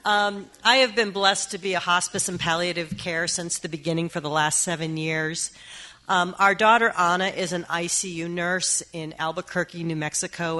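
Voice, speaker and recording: Middle-aged woman, scratchy voice from a cold, bright tone, earnest talk, frequent ums. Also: fairly low pitch. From Massachusetts. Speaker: hospice worker giving a talk. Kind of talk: heartfelt personal talk.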